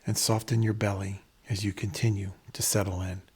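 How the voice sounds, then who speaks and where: A man leading a guided meditation in a measured, instructional manner, pausing between phrases, with a breathy, husky voice. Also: lowish voice, level tone, a little mellow.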